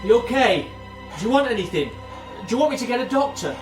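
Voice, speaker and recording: An actor playing an earnest, wounded adult son shaken by family secrets, in naturalistic radio drama. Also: male voice, extremely high pitch, big pitch swings, brisk, low-quality recording. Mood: worried, gentle. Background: music.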